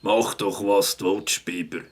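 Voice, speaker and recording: A man voicing a grumpy, rude animal bar owner in a children's audio drama, gruff and gravelly, with a Swiss accent. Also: lowish voice, steady tone, measured pace, slight background noise, natural voice. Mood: sullen, dismissive. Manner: gruff, dismissive.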